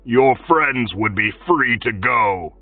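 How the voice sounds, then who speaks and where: A man voicing an angry, exasperated lord who shouts his demands. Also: mid-range voice, animated, slow pace, slightly rough, no echo, recorded from a distance, phone-quality sound, soft background music, pitched above the performer's own voice. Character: blustering, angry male lord.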